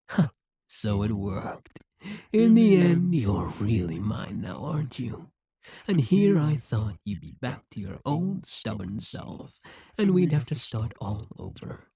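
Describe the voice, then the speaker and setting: A man voicing a possessive, scheming demon king in an audio roleplay, speaking slowly and condescendingly. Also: medium pitch, warm tone, husky, dry recording, clean studio sound, full character voice. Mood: commanding. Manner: condescending, slow and musing.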